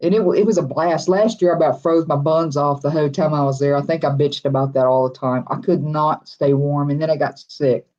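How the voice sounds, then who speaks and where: This is a friendly, rambling livestream host with a drawl who reads out viewer comments and answers them in easy, casual chat. The voice sits at a middling pitch and chuckles come often between restarted sentences. The audio is dull and lo-fi. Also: fairly even intonation, fairly quick, few pauses, darkish tone.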